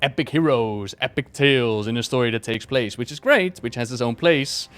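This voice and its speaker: Smooth-voiced man giving a casual review. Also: mid-range voice, normal speed, a bit bright. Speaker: video creator reviewing a book. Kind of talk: casual, enthusiastic review chat.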